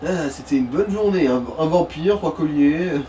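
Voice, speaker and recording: A man's voice: on the high side, moderate pace, warm tone. A man banters casually as he comments on a video game, in an informal, slangy way. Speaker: let's play co-commentator. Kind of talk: casual gaming chat. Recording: distant mic with clear background noise.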